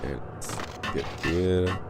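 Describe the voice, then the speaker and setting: A man's voice: deep voice, drawn-out words. A man in his thirties with a Polish accent, chatting loosely with viewers and swearing at the game as he streams. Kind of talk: casual livestream chat over gameplay. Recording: noisy game audio underneath. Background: game gunfire and ambience.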